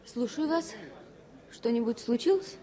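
An actress playing a sad, guarded young flight attendant with restrained, earnest delivery in a 1970s Soviet radio play. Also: female voice, fairly high pitch, animated, measured pace, dark tone, some chatter behind. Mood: polite, composed. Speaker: radio drama actor. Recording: faintly noisy vintage radio recording.

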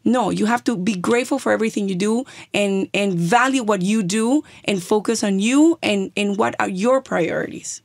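A woman's voice: medium pitch, normal speed, creaky, few pauses, no echo. Earnest Dominican woman, dry close mic. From Dominican Republic. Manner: earnest, encouraging, repeats phrases for emphasis. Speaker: TV co-host, podcast guest. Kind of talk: podcast interview, mixing Spanish and English.

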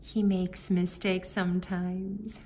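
A woman reading a picture book aloud to young children, in simple phrases with deliberate pauses. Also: lowish voice, flat delivery, a little mellow. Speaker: children's story reader. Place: indoor room, book filmed on a table. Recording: faint room noise behind the voice.

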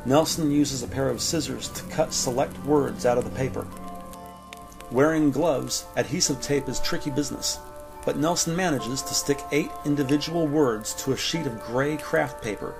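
A man narrating a crime audio drama from a script, measured and descriptive. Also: medium pitch, creaky. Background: music.

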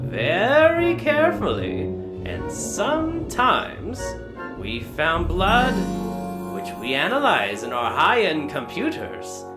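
A man voices a genial, grandfatherly park owner in a fan audio drama, presenting like a showman with a lively, swooping delivery. He takes his time over each phrase while music plays underneath. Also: a little high, takes it slowly, full character voice. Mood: proud.

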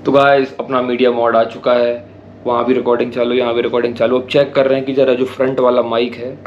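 A man's voice: medium pitch, little pitch movement, fast, lo-fi sound, a little hiss or hum. A young man casually explaining his camera setup step by step in a vlog, recorded on an action camera. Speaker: motovlogger. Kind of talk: casual gear-review vlog. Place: small home room indoors, later riding a motorbike on city streets. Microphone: action camera with attached mic.

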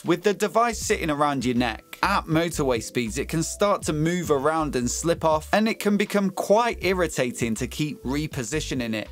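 A British man in his thirties giving a conversational, explanatory product review to camera as a motorcycle gear reviewer. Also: on the high side. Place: small home office, indoors.

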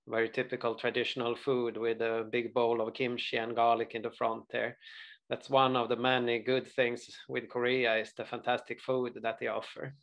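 A man in his forties from Sweden with a Swedish accent, presenting a study abroad webinar in an earnest way, often pausing with uh and restarting words. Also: mid-range voice, a bit bright. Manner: earnest, frequent uh fillers and restarts. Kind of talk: webinar presentation over slides.

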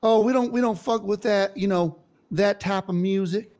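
A man voicing a gruff old-fashioned cowboy who recruits people, talking in a blustery, prejudiced way. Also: sits high, normal speed, a little mellow. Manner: blustery, prejudiced drawl, stumbling when flustered. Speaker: roleplay character recruiting for a unit. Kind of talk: improvised roleplay.

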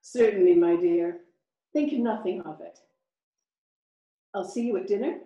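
Woman acting courteous older lady, soft mellow tone. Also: medium pitch, a little slow, natural voice. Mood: kindly. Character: courteous, kindly lady companion of 1908. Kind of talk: period radio play acting. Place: at home on a video call. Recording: roomy home video-call sound.

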